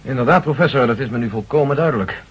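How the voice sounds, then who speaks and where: An actor playing a determined army general in a radio drama, assertive and reasonable, probing with questions. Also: male voice, medium pitch, fast talker, husky. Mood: sober. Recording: dull lo-fi old radio recording.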